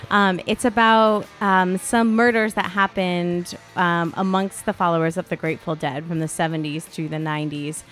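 A woman's voice: lowish voice, steady tone, normal speed, few pauses, fairly bright tone, soft background music. A woman co-hosting a podcast in loose, casual conversation, often saying "like". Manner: conversational, peppered with "like". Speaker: podcast co-host. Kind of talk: casual chat.

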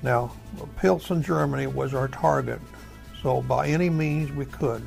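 An elderly American man recounts his army days. His voice is deeply gravelly and creaky, at an average pitch. He rambles in a plain folksy way, feeling for words, with soft music underneath. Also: a little slow. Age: older, over 70. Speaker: war veteran. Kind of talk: oral history storytelling.

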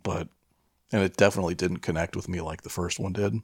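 Deep gravelly man chatting casually. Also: fairly even intonation, fast, dry recording, studio quality. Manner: profane, rambling. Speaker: podcast co-host. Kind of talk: casual banter about films.

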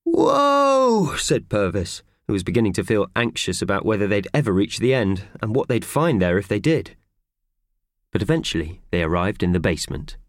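A man narrating a children's audiobook in an expressive, scripted storytelling style. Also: low voice, no room sound, studio quality. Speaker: audiobook reader.

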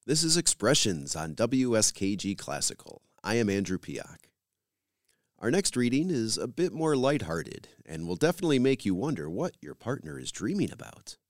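A man's voice: on the low side, very animated, moderate pace, no echo, studio quality. A man hosting a radio program, reading scripted introductions and credits as a presenter.

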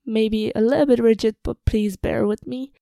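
A woman's voice: on the high side, fairly even intonation, moderate pace, vocal fry, dark tone, no echo, clean studio sound. A young woman from the Republic, hosting a casual personal podcast in a slightly nervous, hesitant, informal manner. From Czech Republic, moved to Ireland at twelve. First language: Czech. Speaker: podcast host.

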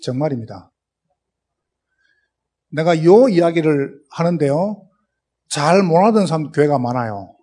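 A pastor from the Gyeongsang region, a man with a thick Gyeongsang accent, preaches with lively storytelling and acts out the voices in his anecdotes. His voice sits a little high for a man, dark and slightly husky, with a mellow tone. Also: a little slow, long pauses. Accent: Gyeongsang Korean. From Gyeongsang, South Korea. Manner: storytelling, acts out dialogue, speeds up and laughs. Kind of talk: humorous sermon with anecdotes.

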